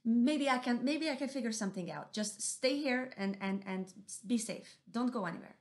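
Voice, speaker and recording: A woman's voice: a little high, moderate pace, darkish tone, voice pushed up, natural voice. A woman voicing a small, clever male mouse deer who talks earnestly. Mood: thoughtful.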